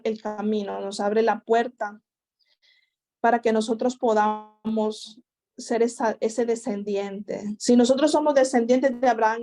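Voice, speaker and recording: A woman teaching a sermon over an internet call, repeating phrases and exhorting her listeners. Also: a little high. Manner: slow, repetitive preaching with exhortations. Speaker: Bible teacher. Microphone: internet call connection.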